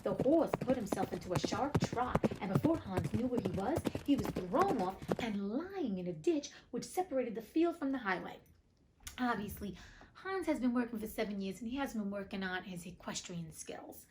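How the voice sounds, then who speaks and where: A woman's voice: mid-range voice, lively intonation, moderate pace, darkish tone, slight background noise, low-quality recording. A woman reading a storybook aloud as host, breaking off for chatty, informal, joking commentary. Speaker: reader and host of a classics read-aloud show.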